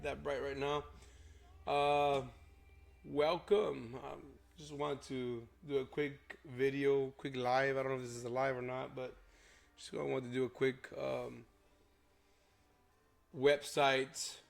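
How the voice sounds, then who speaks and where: A hoarse young man, a realtor chatting loosely through a casual vlog walkthrough with lots of fillers. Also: mid-range voice. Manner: rambling, filler-heavy. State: hoarse from a cold.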